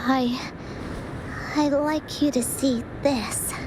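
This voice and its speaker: A woman's voice: high voice, fairly even intonation, very slow, background noise. A woman voicing a shy, wistful young girl in a video game, speaking in a hesitant, timid manner.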